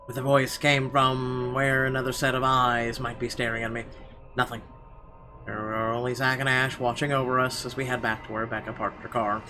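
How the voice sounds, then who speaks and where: A man reading a horror visual novel aloud in an expressive, theatrical narration with weighty pauses. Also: mid-range voice. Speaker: let's player. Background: game music.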